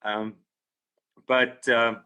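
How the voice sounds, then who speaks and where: A man hosting a video call breakout session, relaxed and full of fillers. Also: mid-range voice, very slow, clear, resonant tone. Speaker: session host. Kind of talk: casual video call moderation.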